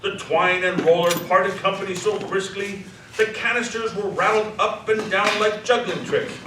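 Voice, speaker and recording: A man narrating a scripted story dramatically, savoring the descriptive words with expressive delivery. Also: high-pitched, moderate pace, lightly acted. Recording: echoey hall, distant mic, faint noise.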